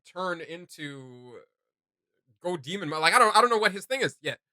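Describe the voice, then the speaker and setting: A man hosting a casual reaction video, giving gushing, slangy commentary in a halting flow. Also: high voice, lively intonation, bright, forceful tone, dry recording.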